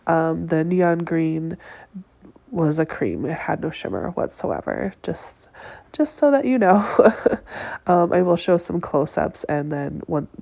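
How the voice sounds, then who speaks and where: Stuffed-up woman with a gravelly voice. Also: deep voice, lively intonation, slow, warm tone, no room sound. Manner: chatty, many ums and likes. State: stuffed-up nose. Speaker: nail artist reviewing polish. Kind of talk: casual voice-over product review. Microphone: close voice-over mic.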